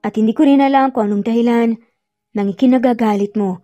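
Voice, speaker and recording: A woman's voice: a little high, fairly even intonation, fast, warm tone, dry recording, highs cut off, soft background music, lightly acted. A woman voicing a frustrated man, an earnest and insistent former lover pleading in an audio love story.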